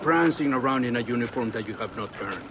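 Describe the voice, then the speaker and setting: A man's voice: mid-range voice, animated, fast, lightly acted. A man playing a stern, disapproving leader who speaks solemnly and formally in a video game. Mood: stern reproach. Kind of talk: video game cutscene acting. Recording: clearly noisy outdoor ambience.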